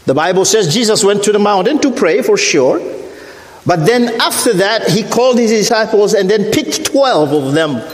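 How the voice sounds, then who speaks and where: A middle-aged man from Africa with a French accent, preaching a sermon as a guest missionary, deliberate and repeating his points. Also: expressive. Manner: deliberate, repeats phrases, rises in pitch when preaching. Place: church sanctuary. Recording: echoey church hall.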